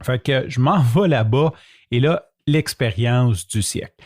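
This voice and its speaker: A man's voice: medium pitch, unhurried, darkish tone, no echo, clean studio sound. A man with a Québécois French accent, hosting a podcast and telling a personal story in a chatty, self-mocking way, often laughing as he talks. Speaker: podcast host. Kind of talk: casual solo storytelling.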